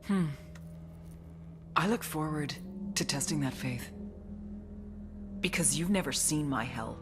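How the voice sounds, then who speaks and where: Female CIA agent, American, deep for a woman, controlled sardonic threat, game cutscene over music. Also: expressive, takes it slowly. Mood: cold menace. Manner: hard-edged, controlled, sardonic. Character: tough, foul-mouthed, coolly menacing female CIA agent. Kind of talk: dramatic video game cutscene acting.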